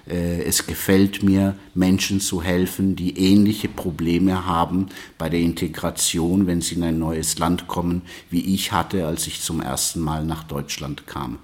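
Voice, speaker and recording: A man from Peru whose first languages are English and Spanish introduces himself as an integration guide, slowly and with frequent hesitations. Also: low voice, a little mellow. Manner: slow, measured, with frequent hesitations. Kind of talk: personal self-introduction.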